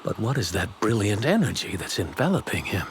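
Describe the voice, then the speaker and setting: A man in an anime dub voicing a brooding, calculating divine villain in a measured, formal, ominous manner, with effects noise under the voice. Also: on the low side, very animated. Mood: intrigued, scornful. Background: ambient sound effects.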